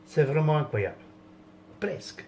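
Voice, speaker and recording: A man from France with a slightly raised pitch, venting about politics in a loose, rambling way. He is indignant, restarts often, and lets phrases trail off. A faint ambient hum sits behind him. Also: big pitch swings, unhurried, dark tone.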